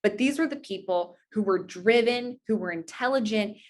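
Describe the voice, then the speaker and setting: A woman's voice: medium pitch, moderate pace, a little hiss or hum. A woman in her twenties talking earnestly and at length as an interview guest, in a conversational, anecdotal style. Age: 20s. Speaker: interview guest, pageant titleholder.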